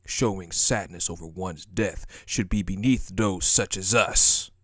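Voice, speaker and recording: A man's voice: deep voice, measured pace, a bit bright, no echo, studio quality. A man playing a haughty, power-hungry prince in a dramatic audio roleplay, with a contemptuous, menacing and formal delivery. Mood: sneering. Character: cold, haughty, power-hungry prince who scorns weakness.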